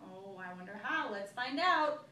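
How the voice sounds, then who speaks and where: A woman's voice: medium pitch, lively intonation, measured pace, pushed and bright. A woman teacher reading a picture book aloud to a child, telling it expressively and stopping to ask questions. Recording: echoey room, recorded from far off, noisy.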